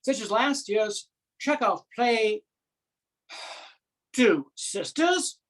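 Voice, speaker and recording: A woman performing a comic stage monologue as a self-important, earnest male drama society director, formal, with deliberate pauses. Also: fairly high pitch, expressive, heavily acted. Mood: awkward, rueful.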